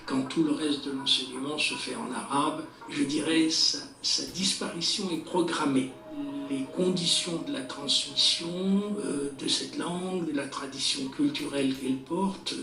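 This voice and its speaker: A man answering a radio interview, explaining at length in a measured way with small hesitations. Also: medium pitch, creaky, fairly bright tone. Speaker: expert interviewee. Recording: roomy echo from a distance, faint music.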